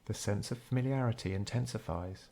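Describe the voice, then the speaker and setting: Man, lowish voice, guided meditation narrator, scripted delivery, measured and unhurried. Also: warm tone.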